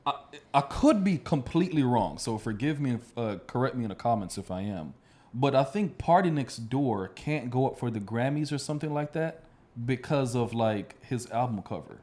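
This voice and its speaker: A man talks as a podcast host in a relaxed conversational exchange. His wording is informal and slangy, and his pitch sits in a middle range for a male voice. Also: normal speed. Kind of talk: casual chat.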